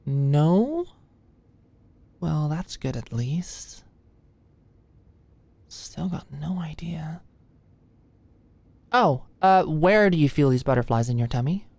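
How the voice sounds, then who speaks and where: A low, unhurried young woman's voice , performed by an actress, plays an anxious medical student in an ASMR roleplay. She is shy and flustered, hesitating and stammering as she goes. The sound is dry and intimate. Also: animated, a little mellow, no echo, full character voice. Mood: concerned, puzzled. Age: young adult. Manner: stammering, hesitant, muttering asides under breath. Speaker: voice actor in audio roleplay.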